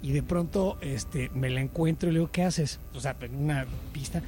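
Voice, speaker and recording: A middle-aged man with a Mexican accent telling a slangy, animated story in a casual chat show. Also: on the high side, moderate pace, soft background music. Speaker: co-host.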